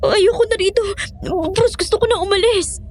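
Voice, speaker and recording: High-pitched anxious young woman cook. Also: fairly even intonation, moderate pace, a little hiss or hum. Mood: frightened. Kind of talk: Tagalog horror audio drama acting.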